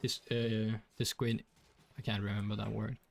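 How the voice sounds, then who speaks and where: A man's voice: on the low side, level tone, moderate pace, gravelly. A young man from Denmark with a Danish accent, chatting casually while streaming a game, rambling with many fillers. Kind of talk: casual gaming stream chat.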